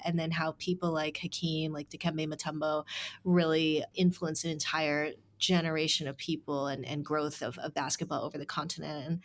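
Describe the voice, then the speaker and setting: Woman, young adult, author guest, earnest casual chat, somewhat low pitch. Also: slight background noise. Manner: earnest, animated, heavy use of "like". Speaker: author and staff writer, guest. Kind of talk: casual interview talk.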